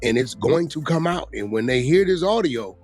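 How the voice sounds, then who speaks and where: A man's voice: a little high, animated, moderate pace, darkish tone. Blunt slangy man, music underneath. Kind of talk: casual opinionated chat about street gossip.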